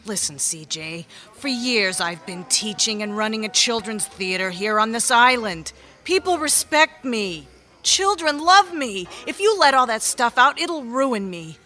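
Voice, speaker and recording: A woman's voice: on the high side, sing-song, a little slow, pushed and bright, soft background music, full character voice. An actress playing an indignant, hurt small-town schoolteacher in her thirties in a radio mystery. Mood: pleading. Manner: emotional, indignant. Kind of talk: radio mystery drama acting.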